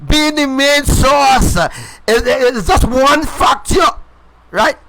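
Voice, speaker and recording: A man preaching on a live stream. He talks emphatically and repeats himself, swinging between loud declarations and low asides. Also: expressive, slow, background noise. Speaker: self-styled prophet.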